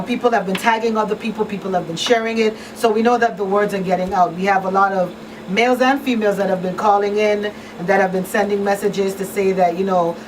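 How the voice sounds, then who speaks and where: A woman hosting a live radio talk show in a casual style from a small studio. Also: mid-range voice. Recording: slightly distant, noisy room sound.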